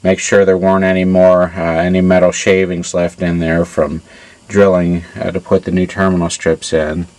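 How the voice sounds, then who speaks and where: Low-voiced man giving a technical demo. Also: flat delivery, normal speed, a little mellow. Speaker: amplifier repair hobbyist demonstrating his work. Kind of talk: informal hands-on technical demonstration.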